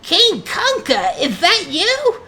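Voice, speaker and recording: Woman doing a screechy, very high rodent soldier. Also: wildly swooping pitch, takes it slowly, sharp tone, exaggerated voice. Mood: excited. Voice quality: screechy, grating.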